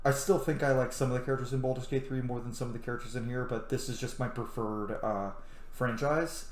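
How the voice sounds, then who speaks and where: A man's voice: medium pitch, fairly even intonation, fast. Echoey man chatting through a game. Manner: gushing, opinionated, swears casually. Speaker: gamer commenting on a playthrough. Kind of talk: casual gameplay commentary. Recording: roomy echo, faint background noise.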